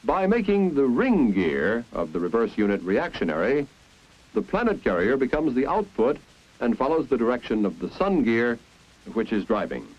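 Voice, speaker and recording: An American man reading scripted 1950s instructional film narration in a clear, deliberate, explanatory manner. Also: medium pitch, big pitch swings, a little mellow. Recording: dull, band-limited 1950s film soundtrack.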